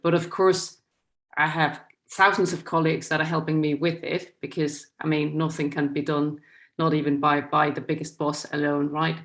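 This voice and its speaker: Woman, Danish accent, middle-aged, low-pitched, earnest lecture, video call mic. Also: fairly bright tone. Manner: earnest, plain-spoken, reading partly from a script. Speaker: guest lecturer. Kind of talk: inaugural lecture to journalism students.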